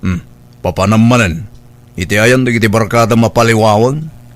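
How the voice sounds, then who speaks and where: A man's voice: lowish voice, moderate pace, lightly acted. A man playing a stern, protective uncle in a radio drama, with a Filipino accent and a lecturing, reproving manner. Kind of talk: Ilocano radio drama acting.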